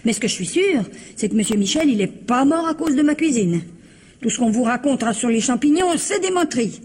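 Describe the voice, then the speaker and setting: An actress playing a plain-spoken, proud older household cook in a radio mystery, husky, rambling and naively blunt. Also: female voice, fairly high pitch, expressive, fairly quick, darkish tone. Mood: chatty, defensive. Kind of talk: French radio mystery drama acting.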